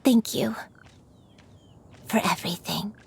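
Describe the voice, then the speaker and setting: An actress voicing a gentle elderly grandmother who is a crane spirit in human form, in a video game, speaking in a tender, soothing way. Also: medium pitch, takes it slowly, creaky, slightly rough, full character voice.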